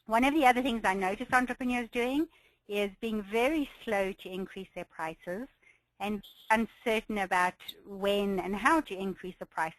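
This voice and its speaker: A woman runs a pricing webinar, speaking in a slightly hoarse voice from a cold. She sounds encouraging and upbeat and often laughs lightly between her points. The sound is dry and close to a computer mic. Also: mid-range voice, a bit bright, no echo, low-quality recording. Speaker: business coach hosting a webinar. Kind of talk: webinar presentation.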